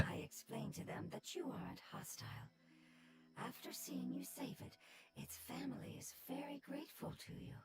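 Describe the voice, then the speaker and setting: An actress playing a gentle, patient woman in a video game who reassures and explains. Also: sits high, moderate pace, music in the background. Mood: gentle, reassuring. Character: gentle reassuring female interpreter for creatures. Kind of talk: scripted game dialogue.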